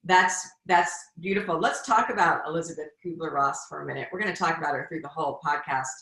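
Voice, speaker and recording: A woman's voice: low-pitched, quick pace, fairly bright tone. A middle-aged woman hosting a podcast interview, speaking in an effusive, encouraging way. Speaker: podcast host, former hospice nurse. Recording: roomy echo.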